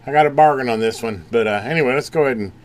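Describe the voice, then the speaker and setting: A man giving a casual hands-on repair demonstration, folksy and unhurried as he talks while working. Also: mid-range voice. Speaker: channel host doing electronics repair. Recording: noisy room, clearly audible background noise.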